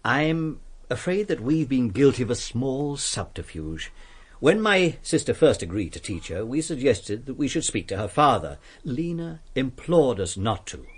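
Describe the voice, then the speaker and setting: An actor playing an earnest, kindly English vicar in a radio drama, speaking politely and precisely with some hesitation. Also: male voice, medium pitch, very animated, unhurried, breathy edge. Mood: earnest, pleading.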